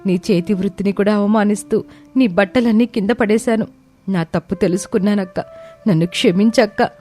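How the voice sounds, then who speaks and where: A woman's voice: medium pitch, normal speed, dark tone, faint music behind, lightly acted. A woman voicing an emotional, exclamatory and pleading younger sister-in-law in a family story. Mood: tearful pleading.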